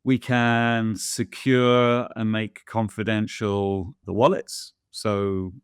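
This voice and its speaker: A man with a fairly low voice and a gravelly edge to it. He talks in a thoughtful, halting way, full of ums and restarts, like someone in a casual chat. The sound is close and dry. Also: level tone, no room sound. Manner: thoughtful, hesitant, many fillers and restarts. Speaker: guest answering viewer questions. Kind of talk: casual conversational interview.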